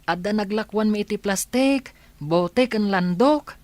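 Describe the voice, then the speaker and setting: A woman's voice: mid-range voice, measured pace, darkish tone, no room sound, natural voice. Woman playing a timid, plaintive orphaned child. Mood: timid, puzzled. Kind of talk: Ilocano radio drama acting.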